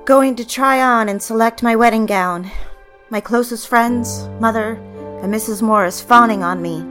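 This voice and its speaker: An actress playing a guilt-ridden, defiant young bride-to-be in an audio drama, brooding and defensive in manner. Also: female voice, medium pitch, a bit bright, close to an everyday voice. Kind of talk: audio drama, confessional first-person narration and dialogue.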